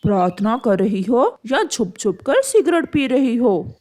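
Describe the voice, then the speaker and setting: A busybody cartoon pigeon, male though a woman acts him out. He questions and scolds slowly, with lively swings in tone. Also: fairly high pitch. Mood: disapproving.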